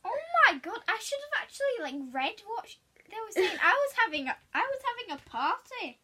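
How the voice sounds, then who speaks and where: A woman's voice: very high-pitched, big pitch swings, brisk, pushed and bright. A woman chatting casually, chiming in as a friend's sidekick on a story. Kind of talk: casual chat.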